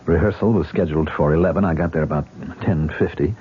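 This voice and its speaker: A deep-voiced, wounded stage actor. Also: male voice, fast talker. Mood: guarded. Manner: guarded, then anguished and bitter. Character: troubled male stage actor, wounded and defensive. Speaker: patient. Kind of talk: 1970s radio drama acting. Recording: tinny lo-fi radio broadcast with faint hiss.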